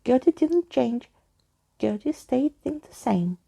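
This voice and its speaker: A shrill, childish imaginary creature from a storybook. She wails and squeals high up, stubborn and defiant. Her grammar is broken and babyish. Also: lively intonation, slow, vocal fry, mellow, slightly rough, exaggerated voice. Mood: pleading. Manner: broken childlike grammar, third-person self-reference.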